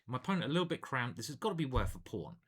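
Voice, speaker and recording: A man's voice: mid-range voice, big pitch swings, very fast, a bit bright, breathy edge. A man, a chess grandmaster, casually commentating his own online games in a playful, chatty way. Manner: playful and chatty, hums and sings little jingles.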